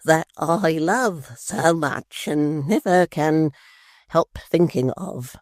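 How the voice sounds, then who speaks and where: A woman's voice: mid-range voice, expressive, slow, no echo, studio quality, pitched above the performer's own voice. Woman playing a vain young apprentice. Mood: coaxing.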